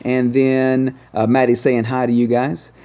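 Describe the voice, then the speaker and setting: A man's voice: mid-range voice, completely flat delivery, unhurried, warm tone, highs cut off. A man doing casual, explanatory game commentary as the host of a gaming video.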